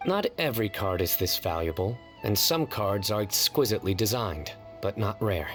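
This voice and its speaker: A man playing a stern, earnest young officer in an anime video game, speaking in a measured, grandly formal way. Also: fairly low pitch, expressive, a bit bright, soft background music. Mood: resolute.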